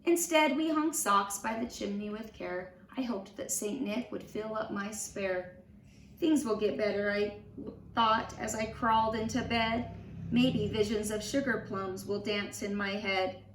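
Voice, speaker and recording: A woman reading a children's storybook aloud in an expressive, animated storytelling manner. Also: mid-range voice. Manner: slow, animated storytelling with acted voices. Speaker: storybook reader. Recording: roomy, distant mic with faint noise.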